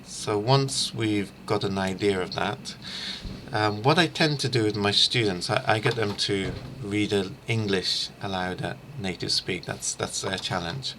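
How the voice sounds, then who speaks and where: A middle-aged man giving an informal instructional screencast as a language teacher, haltingly thinking aloud. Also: on the low side, background noise. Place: small room lined with shelves, indoors.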